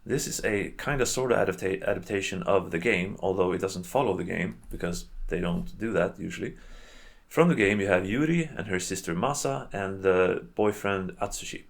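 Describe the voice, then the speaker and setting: A man hosting a video in casual, unscripted commentary, with a halting, rambling and hedging way of talking. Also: sits low, creaky. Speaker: video host reviewing games and adaptations.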